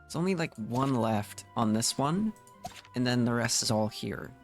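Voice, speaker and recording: A man giving casual live gameplay commentary, muttering to himself in a halting way. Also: medium pitch, animated. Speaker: game streamer. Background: game music.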